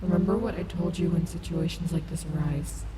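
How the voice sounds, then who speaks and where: A woman's voice: a little fast, natural voice. Woman acting a protective wolf girl, echoey, noisy. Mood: soothing. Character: protective, excitable female animatronic wolf companion on an adventure. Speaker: voice actor in audio roleplay. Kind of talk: ASMR audio roleplay acting. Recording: echoey, with loud ambient sound effects. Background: loud ambience and rumbling effects.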